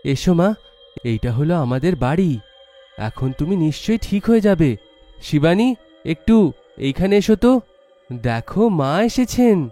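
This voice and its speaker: Guy acting a caring son and husband, mellow, slightly high for a man, soothing, story style. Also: lively intonation, measured pace, pauses often, soft background music.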